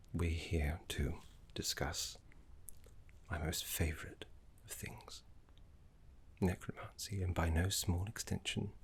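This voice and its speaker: Casting: male actor as courteous scholarly necromancer mentor, very low-pitched, breathy half-whisper, reverent and soothing, game-inspired ASMR roleplay. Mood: musing, fond. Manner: unhurried, refined, reassuring, deliberate pauses.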